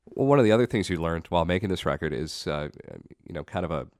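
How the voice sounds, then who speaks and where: A man's voice: low voice, expressive, fast, darkish tone, no room sound, clean studio sound. A man hosting a live radio interview, asking eager, rambling questions with many restarts. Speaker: radio host. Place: radio studio.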